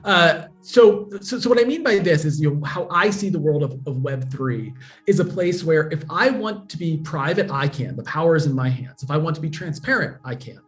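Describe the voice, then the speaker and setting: A man's voice: a little high, expressive, moderate pace, faint music behind. A man, a guest on a remote interview, patiently explaining technology with everyday examples. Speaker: guest, blockchain educator. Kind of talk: casual explanatory interview talk.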